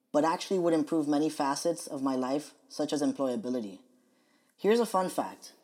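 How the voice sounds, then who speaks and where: A young adult man from the United States hosting a podcast, reading his scripted personal monologue in a measured, earnest way. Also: medium pitch, mellow. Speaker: podcast host.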